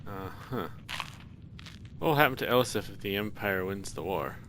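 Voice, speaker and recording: A man's voice: fairly low pitch, lively intonation, moderate pace, a bit bright. A man giving casual, playful, offhand commentary as he plays through a video game. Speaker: let's play gamer. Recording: clearly noisy background.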